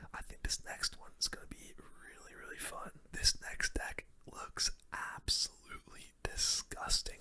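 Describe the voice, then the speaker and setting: A gaming host whispers throughout in a soft, relaxed way. The whisper is very bright and sharp, close on the microphone. It is ASMR-style commentary over live gameplay.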